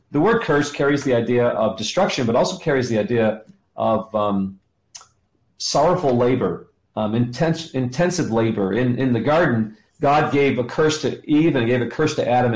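Male guest teacher, middle-aged or older, average pitch, explanatory and emphatic, strongly roomy sound. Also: a little mellow. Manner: explanatory, emphatic pauses, rhetorical questions. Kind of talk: teaching in conversation, quoting scripture.

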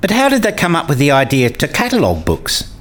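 A man's voice: a little high, huge pitch swings, quick pace, a bit bright, heavily acted. A man playing a wry, bookish academic who narrates and talks in a radio play, with dry, wordy scripted delivery. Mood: conversational. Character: wry male academic narrating his own puzzled days. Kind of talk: scripted first-person narration and dialogue.

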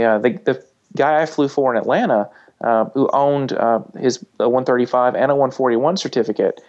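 A man speaking as an instructor on a casual panel, telling anecdotes in a halting, thoughtful way. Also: mid-range voice, a little mellow, highs cut off. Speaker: flight instructor and podcast panelist.

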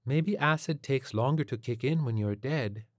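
Man as a soft, naive ghostly waif. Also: mid-range voice, moderate pace, no room sound, studio quality, voice pushed up, close to an everyday voice. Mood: mild, puzzled. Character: waifish, softly naive ghost girl, open to new experiences.

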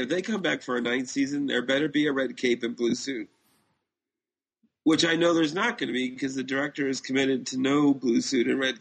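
Man with a creaky voice, chatting casually. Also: mid-range voice, rough recording. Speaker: podcast co-host. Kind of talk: casual chat about TV shows.

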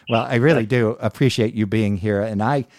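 A man's voice: lowish voice, darkish tone, dry recording. A middle-aged man hosting an interview podcast. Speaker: podcast host.

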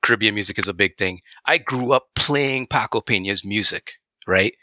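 A man's voice: lowish voice, normal speed, bright, forceful tone, dry recording, muffled recording. A man from Trinidad, a game audio designer, talking casually and animatedly with many fillers in an interview.

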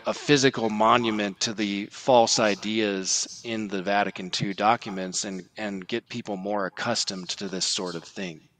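A man giving earnest podcast commentary in a measured, explanatory way with formal wording. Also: lowish voice, fairly bright tone.

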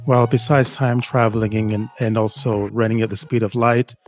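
A man's voice: medium pitch, flat delivery, moderate pace, soft background music. A middle-aged man from Jamaica, a guest author, telling stories enthusiastically in a casual interview. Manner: enthusiastic storyteller, some restarts, laughs.

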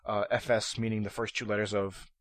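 A young man with a fairly low, slightly hoarse voice rambles through a solo podcast in an even, monotonous way. He stumbles, restarts, and leans on fillers. The sound is dry and close, with no room ring. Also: a little fast, no room sound. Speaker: podcast host. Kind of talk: casual solo podcast talk. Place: room at his computer.

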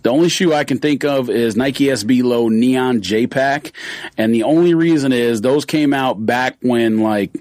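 A man's voice: mid-range voice, measured pace, a little mellow, no echo. A man co-hosting a casual podcast, teasing and blunt, who trails off while searching for words. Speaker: podcast co-host. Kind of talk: casual sneaker podcast chat.

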